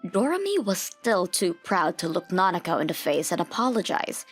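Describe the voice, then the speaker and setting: A woman's voice: on the low side, big pitch swings, normal speed, breathy edge, soft background music. A woman reading scripted story narration for a manga dub.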